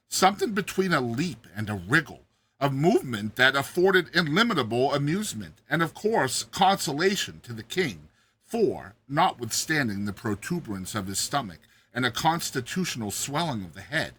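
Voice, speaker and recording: A man's voice: a little high, pushed and bright, slightly rough. A man giving a dramatized, theatrical audiobook reading of a classic gothic story, stressing and stretching key words. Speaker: narrator, show host.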